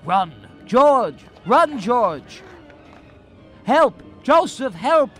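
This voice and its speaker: An actor in a radio drama playing a kindly, anxious, bumbling guardian angel with an earnest, moralizing manner. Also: male voice, very high voice, very animated, extremely slow, a bit bright, faint music behind, heavily acted. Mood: panicked calling.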